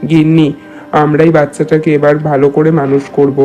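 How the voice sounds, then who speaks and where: A man's voice: fairly high pitch, level tone, moderate pace, dark tone, faint music behind, natural voice. A man playing a kindly, reassuring village landlord and father in a cartoon. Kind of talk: cartoon character acting in a Bengali moral tale.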